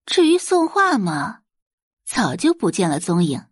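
A woman's voice: mid-range voice, huge pitch swings, unhurried, breathy edge, dry recording, clean studio sound. A woman narrating a scripted audio drama in a dramatic storytelling style.

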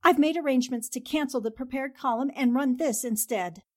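A woman's voice: high-pitched, a little fast, darkish tone. A woman playing a poised, tactful newspaper columnist, articulate and polite, in 1940s radio drama style. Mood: businesslike.

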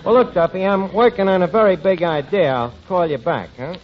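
An actor playing a cocky, scheming tavern manager with self-important patter in a 1940s radio sitcom. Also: male voice, high voice, fairly quick, natural voice. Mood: cocky chatty. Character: tavern manager, scheming, boastful, dim wisecracking man. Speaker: comedy lead. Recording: muffled, noisy 1940s radio broadcast, studio audience.

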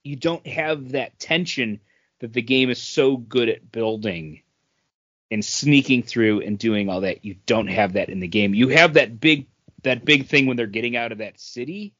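A man co-hosting a podcast, chatting casually about pop culture as he explains his opinions with halting self-corrections. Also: medium pitch, fairly bright tone, no room sound, highs cut off. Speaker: podcast co-host.

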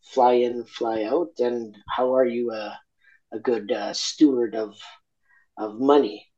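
A man from Argentina speaking candidly as an interview guest, reflective and halting, with many fillers and restarts. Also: mid-range voice, fairly even intonation, mellow, lo-fi sound.

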